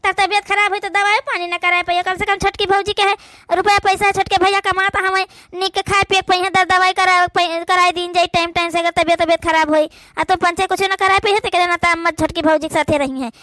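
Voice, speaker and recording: A woman's voice: extremely high pitch, level tone, brisk, pushed and bright, dry recording, studio quality. A woman with an Awadhi accent acting out a rural family drama for a cartoon in colloquial, complaining village speech. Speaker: cartoon voice performer.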